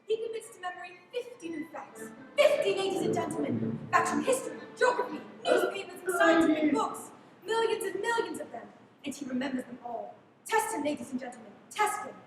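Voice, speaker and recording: A woman's voice: very high-pitched, expressive, brisk. A woman playing a grandiose music-hall announcer in a radio play, declamatory and showy, drawing out words for emphasis. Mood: grand showmanship. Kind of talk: radio play acting, stage patter. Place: high school stage performance, actors masked. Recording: echoey hall from far off, noisy.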